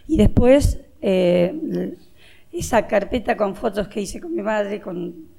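Argentine lady in an interview, super creaky low-brightness voice, hesitant broken phrases. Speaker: interviewee, relative recounting events. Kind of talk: spontaneous testimony.

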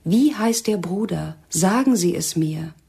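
A woman's voice: fairly low pitch, lively intonation, unhurried, vocal fry, dark tone, slightly rough, full character voice. An actress in a radio drama playing a cold, domineering female militant interrogator, stern and mocking. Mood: pressing, scornful.

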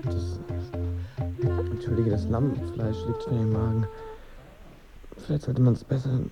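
Ein Schauspieler spielt in einem Hörspiel einen zögerlichen, verlegen ausweichenden Liebhaber. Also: male voice, medium pitch, measured pace, loud music behind the voice, natural voice. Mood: awkward, hesitant. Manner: hesitant, awkward. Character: hesitant male lover, awkward and evasive. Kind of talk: radio drama acting.

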